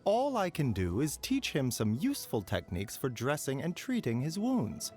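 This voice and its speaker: A man playing a gentle, courteous pharmacist doctor in a game, speaking in a soft, measured and politely wry manner. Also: medium pitch, huge pitch swings, soft background music. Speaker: game character voice lines. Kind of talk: scripted game voice lines.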